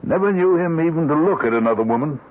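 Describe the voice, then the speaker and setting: An actor playing a sober, kindly family doctor, measured and matter-of-fact, in old-time radio drama style. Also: male voice, on the high side, lively intonation, close to an everyday voice. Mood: regretful. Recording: muffled, tinny old radio broadcast.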